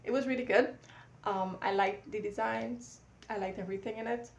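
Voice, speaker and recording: A woman's voice: mid-range voice, fairly even intonation, moderate pace, vocal fry, lo-fi sound, a little hiss or hum. A woman dressmaker chats to her tripod camera in her home studio. She rambles easily, full of fillers and restarts. Her voice sounds a little stuffy, as if she has a cold. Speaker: vlogger and dressmaker. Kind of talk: casual vlog chat to camera.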